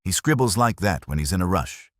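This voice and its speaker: A man's voice: deep voice, lively intonation, a little fast, dry recording, clean studio sound. A man voicing a thin, nervous male records clerk in a storybook mystery, hesitant and fussy. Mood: hesitant, informative.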